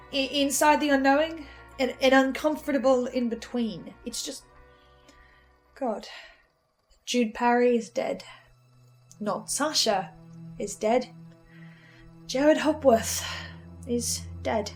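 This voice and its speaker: A woman playing an anxious, brooding researcher, speaking a halting dramatic monologue with stammers and pauses. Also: high voice, low-quality recording. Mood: troubled, self-doubting. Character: anxious female researcher with ominous knowledge, brooding and defiant. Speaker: host reviewing a fiction podcast in character. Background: music.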